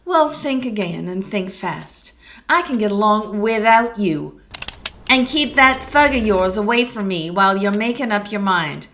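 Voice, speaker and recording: A woman's voice: mid-range voice, expressive, a little slow, a bit bright. Woman doing a tough female private eye, sardonic, clipped, faint hiss behind. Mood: hardboiled.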